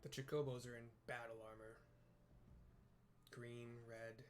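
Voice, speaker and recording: A man's voice: medium pitch, little pitch movement, unhurried, slight background noise. A man improvising plain audio description of video game cutscenes, hesitant and correcting himself as he goes.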